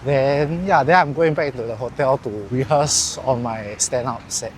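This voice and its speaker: A man's voice: mid-range voice, normal speed, noisy background. A young man with a heavy Singaporean accent, chatting casually and self-mockingly in a travel vlog about trying stand-up. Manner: chatty, self-mocking, swears when nervous. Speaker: vlogger, first-time stand-up comic.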